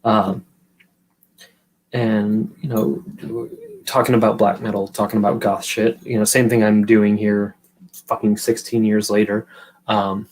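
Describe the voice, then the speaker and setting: A man's voice: fairly low pitch, level tone, a little slow, a little mellow, rough recording. A man in his thirties from California, hosting a live stream and telling a long story in a loose, rambling, foul-mouthed way while answering his chat. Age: 30s. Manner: profane, rambling, chatty, answers viewers' chat.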